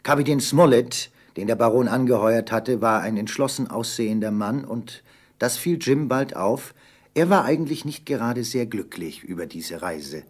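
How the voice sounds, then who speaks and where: A man with a husky voice narrating a scripted German radio play. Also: medium pitch, lively intonation, moderate pace, darkish tone.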